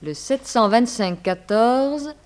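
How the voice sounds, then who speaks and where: An actress playing an obliging telephone exchange clerk, polite and precise, in a 1960s French radio serial. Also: female voice, on the high side, expressive, takes it slowly. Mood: helpful.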